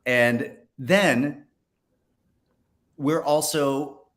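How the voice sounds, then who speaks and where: Man, average pitch, casual interviewer, conversational delivery. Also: drawn-out words, fairly bright tone. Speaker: interviewer, fellow actor.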